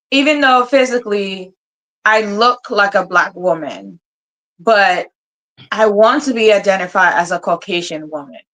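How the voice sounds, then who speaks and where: Halting woman hosting a discussion. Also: medium pitch, a bit bright, poor audio. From Liberia. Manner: halting, repeats words while searching for phrasing. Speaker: host of an online discussion. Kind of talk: casual debate moderation.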